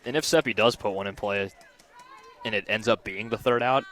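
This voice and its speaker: A man giving conversational color commentary on a live softball broadcast. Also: on the low side, a little fast. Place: outdoors at a ballfield, on a platform by tables.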